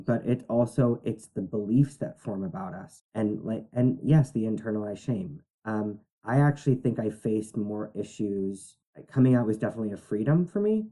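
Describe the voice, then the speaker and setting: A man co-hosting a podcast who talks in an animated, halting way with lots of fillers. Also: lowish voice, poor audio. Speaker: podcast co-host. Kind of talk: casual conversation.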